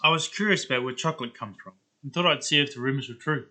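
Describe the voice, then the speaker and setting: A man playing an excited, gullible, curious adventurer in an animated character script. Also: mid-range voice, quick pace.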